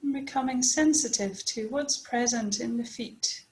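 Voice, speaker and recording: Woman, meditation teacher, slightly high pitch, very slow pace, gentle delivery, long pauses, guided body scan. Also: poor audio.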